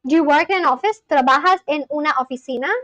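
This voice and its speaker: A young woman, a native Bengali speaker, talks casually into a close microphone with a high, light voice. She gasps and exclaims with excitement as she reads out comments. The sound is dry, with no room echo. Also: fast talker. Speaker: live streamer learning Spanish. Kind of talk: casual live-stream chat reading comments.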